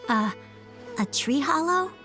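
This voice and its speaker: Shy, slow-talking little boy. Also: high-pitched, animated, exaggerated voice. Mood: hesitant. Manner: hesitant, soft-spoken, polite. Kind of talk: anime game voice acting. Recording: music under the voice.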